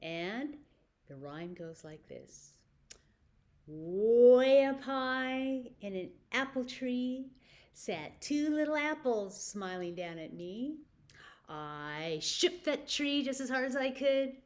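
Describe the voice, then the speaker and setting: Lady doing story time for little kids, takes it slow, talks right to them, rhymes and stuff. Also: on the high side, animated, darkish tone. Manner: simple, direct prompts to child listeners, with pauses for answers. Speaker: children's library story time presenter. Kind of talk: storytelling and rhymes for young children.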